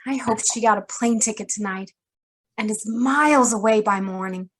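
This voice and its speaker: A woman playing a shrewd, wry murderess with a secret past in a noir radio drama, speaking in a measured, knowing way. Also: mid-range voice, fairly bright tone, close to an everyday voice. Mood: sorrowful, concerned.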